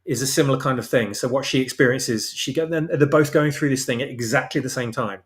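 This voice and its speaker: A man's voice: medium pitch, fast. A man talking casually as an interview guest, explaining his own story and rephrasing as he goes. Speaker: guest, audio drama writer.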